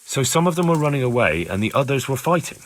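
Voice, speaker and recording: An actor playing an earnest, persistent young investigator in a video game, questioning in a measured way. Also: male voice, mid-range voice, big pitch swings, poor audio. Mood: probing. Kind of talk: video game dialogue acting.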